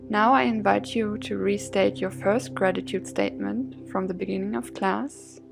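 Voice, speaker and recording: A woman leading a guided yoga and gratitude class as instructor, soothing and measured in her phrasing. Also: medium pitch, fairly even intonation, vocal fry, music in the background. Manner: soothing, gently measured phrasing with breath cues.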